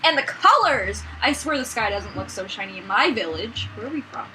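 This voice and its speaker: A woman's voice: a little high, a little fast, a little hiss or hum, low-quality recording. A joking woman co-hosting a let's play, chatting and reading game text dramatically, with game music behind. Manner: joking, animated. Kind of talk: casual chat and dramatic read-aloud of game script. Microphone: not the usual mic, which failed.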